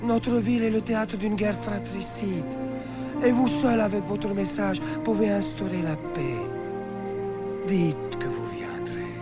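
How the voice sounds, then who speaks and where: An earnest believer speaking for his town in an animated historical drama. He is fervent and sincere, and loud music swells behind him. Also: sits high, measured pace. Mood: pleading, solemn. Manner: fervent, pleading.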